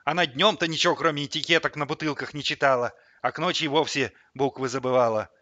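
Guy playing whiny clueless henchman, hesitant n wailing, tense bright voice, audiobook style, dry. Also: on the high side, steady tone, fast talker, no echo, highs cut off. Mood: bewildered, whiny. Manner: hesitant, whining, wailing laments. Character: hapless, whiny gangster henchman nicknamed Hamster.

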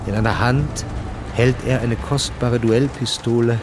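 A man's voice: medium pitch, steady tone, a little slow, noisy background, natural voice. A man reading scripted narration for a radio drama. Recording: narration mixed with loud music and effects.

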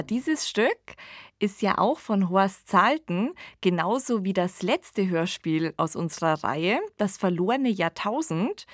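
A woman's voice: mid-range voice, fairly bright tone, dry recording. A woman hosting a podcast, discussing in a thoughtful, explanatory way on a close microphone. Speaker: podcast host. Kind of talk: reflective discussion of radio drama.

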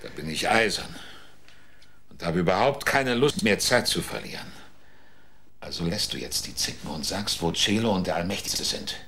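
An actor in a radio drama playing a hard-nosed investigator who presses witnesses in a cool, clipped, insistent way. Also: male voice, low voice, lively intonation, moderate pace, sharp tone. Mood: insistent, cold.

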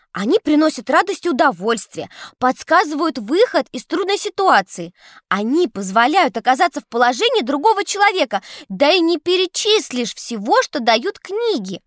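A woman's voice: sits high, no room sound, clean studio sound. A woman voicing an earnest, bookish, mildly bossy schoolgirl in a scripted children's educational audio play. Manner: earnest, didactic, expressive.

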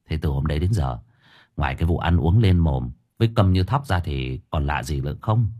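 A man's voice: very deep voice, lively intonation, fairly quick, slightly rough, highs cut off, pitched below the performer's own voice, full character voice. A man voicing a nosy younger neighbour who probes and chides in colloquial speech within a narrated story. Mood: probing. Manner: probing, colloquial, admonishing. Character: nosy younger neighbour questioning and gently chiding a wife.

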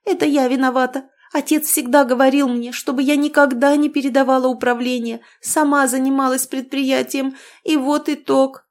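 A woman's voice: high-pitched, little pitch movement, normal speed, hardly any pauses, pitched above the performer's own voice, lightly acted. A woman voicing a young noblewoman who plays at naive, tearful outrage with a wailing, gushing manner. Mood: shrill complaint. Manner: wailing, gushing like a silly girl.

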